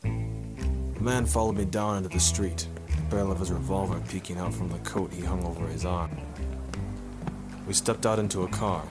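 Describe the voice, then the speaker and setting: A man's voice: deep voice, animated, normal speed, long pauses, loud music behind the voice. A man playing a hard-boiled, sardonic photographer turned sleuth in a 1950s noir radio drama, with wry, tough-talking delivery. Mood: wry narration.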